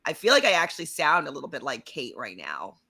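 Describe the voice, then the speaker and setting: A woman co-hosting a casual reality-show recap, chatty and rambling, with a slightly raspy voice and reading out viewer comments. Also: deep voice, wildly swooping pitch, fast talker, a crowd in the background. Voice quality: slightly raspy voice right now. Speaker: podcast co-host.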